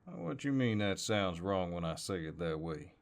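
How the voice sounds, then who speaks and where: A very low-voiced Southern cowboy, acted by a man, speaks in an unhurried, folksy drawl. He is an easygoing, playful neighbor who flirts and teases gently in an intimate audio roleplay. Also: animated, vocal fry. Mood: playful, flirtatious. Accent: American Southern. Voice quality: deep.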